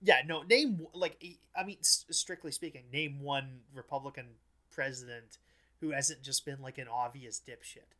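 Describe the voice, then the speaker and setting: A man hosting a podcast, chatting casually about politics in a blunt, halting way, often restarting and correcting himself. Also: medium pitch, pushed and bright. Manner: halting, frequent false starts and self-corrections, blunt. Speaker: podcast host.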